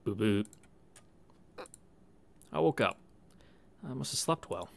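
A man's voice: on the low side, animated, takes it slowly, creaky. Wry young man reading a game. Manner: casual, wry asides with filler. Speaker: let's-play narrator. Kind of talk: reading a visual novel with commentary. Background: game music.

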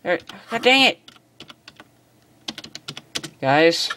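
Casual game commentary, chatty, excitable, middle pitch, phone recording, keyboard typing. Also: extremely slow, slight background noise. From United States. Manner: chatty, excitable, self-deprecating. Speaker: gaming channel host. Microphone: phone filming a screen.

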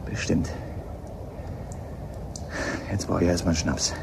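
An actor playing a probing, methodical police inspector in a German radio play, speaking deliberately. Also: male voice, deep voice, level tone. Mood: grave, certain. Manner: questioning, deliberate, hesitant openers. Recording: clear background ambience noise.

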